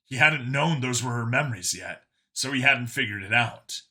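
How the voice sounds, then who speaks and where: A man's voice: mid-range voice, expressive, normal speed, sharp tone. A man reading a story aloud as a dramatic scripted narration. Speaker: audiobook narrator.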